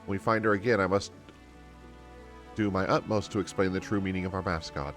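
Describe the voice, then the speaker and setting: A man's voice: sits low, fairly even intonation, brisk, a bit bright. Man playing a polite, formal fantasy game mascot keeper, earnest and anxious, music behind. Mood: thoughtful, formal. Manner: formal, old-fashioned politeness.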